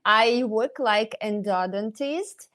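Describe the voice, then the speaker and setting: A woman's voice: a little high, slow pace, fairly bright tone. A woman in her fifties with a Ukrainian accent, a dentist guest who hesitates and searches for English words.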